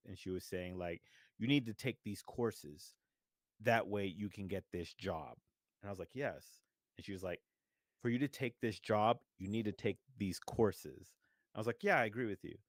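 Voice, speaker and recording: Lower-voiced man telling animated stories. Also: fairly quick, no room sound, studio quality. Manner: animated storyteller, gets riled up. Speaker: radio chat show co-host. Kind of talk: casual chat.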